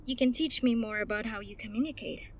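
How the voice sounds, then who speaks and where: A woman's voice: on the high side, brisk, roomy sound, a little hiss or hum, lightly acted. A woman voicing a guarded, sardonic young fighter with a dry way of talking. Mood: wry.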